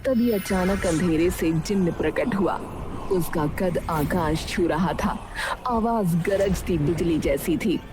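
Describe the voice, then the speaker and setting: A woman's voice: on the low side, takes it slowly. A woman narrating a cartoon moral story from a script. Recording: music behind the voice.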